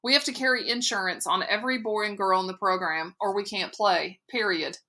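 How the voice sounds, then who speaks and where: A woman reading an awkward, apologetic soccer coach. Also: medium pitch. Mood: firm. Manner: halting, bureaucratic, then softly apologetic.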